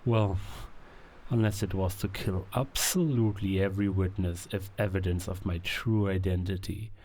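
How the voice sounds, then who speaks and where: An actor playing a scheming werewolf king who coaxes and manipulates in a theatrical, insinuating way, with drawn-out words and hushed asides, in an audio drama. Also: low voice, steady tone, slight background noise, lightly acted. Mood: cold menace. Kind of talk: cinematic audio drama villain acting.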